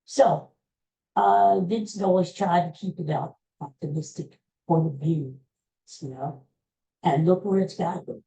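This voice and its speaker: A woman's voice: on the low side, unhurried, vocal fry, dark tone, poor audio. A woman hosting a podcast on her own, giving rambling, folksy life advice with many restarts and calling her listeners folks. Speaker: podcast host. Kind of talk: casual solo podcast monologue.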